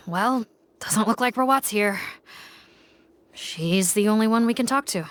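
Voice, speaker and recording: A woman's voice: fairly high pitch, unhurried, dry recording, close to an everyday voice. Woman playing a serious officer, very dry recording. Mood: weary, concerned. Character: serious, businesslike female investigating officer. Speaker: officer leading an investigation. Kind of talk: video game voice acting.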